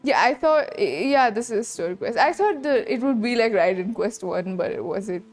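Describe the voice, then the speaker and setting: A woman giving casual live commentary on a game playthrough, halting as she thinks aloud. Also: fairly high pitch, expressive. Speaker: streamer reacting to a game. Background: game music.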